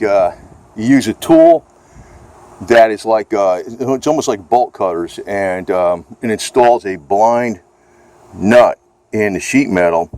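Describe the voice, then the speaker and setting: A man's voice: fairly low pitch, slight background noise. An American man chatting casually off the cuff in a rambling, informal, folksy way. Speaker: vlogger.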